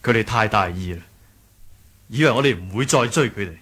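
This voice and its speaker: An actor playing an earnest, hot-headed young male martial arts sect leader in a radio drama, with a husky voice. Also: fairly low pitch, fairly quick, a bit bright. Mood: calm, concerned. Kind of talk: Cantonese radio drama acting.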